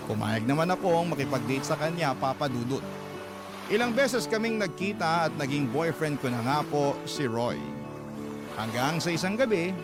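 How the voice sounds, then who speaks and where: Lalaking nagsasalaysay bilang dalagang nagkukuwento ng pag-ibig. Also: male voice, on the high side, close to an everyday voice. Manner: earnest, gentle storytelling with polite particles. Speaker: radio drama narrator. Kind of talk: scripted narration of a letter. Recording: narration over loud background music.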